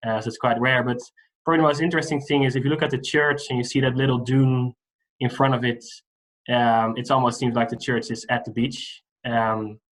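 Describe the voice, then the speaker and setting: A man in his thirties from the Netherlands with a Dutch accent, giving a relaxed online lecture over a video call with many fillers. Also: mid-range voice, brisk, poor audio. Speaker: guest lecturer.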